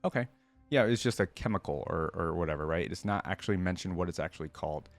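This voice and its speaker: A man hosting a reaction video, reading aloud and thinking out loud in a casual, conversational way. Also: low voice, fast talker, soft background music.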